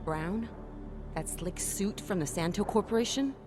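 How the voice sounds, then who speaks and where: A woman's voice: mid-range voice, animated, measured pace, natural voice. An actress playing a passionate woman activist and ally in a video game, with a blunt, informal manner. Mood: worried, bitter. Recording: music behind.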